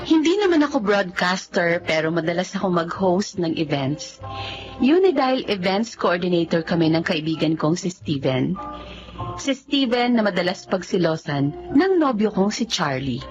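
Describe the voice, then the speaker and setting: A woman's voice: fairly low pitch, sing-song, normal speed, lo-fi sound, over music. An actress playing a friendly, coaxing young woman in a radio drama. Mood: light, chatty. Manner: friendly, cajoling. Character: chatty, coaxing young events coordinator, Danica. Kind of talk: Filipino radio drama acting and narration.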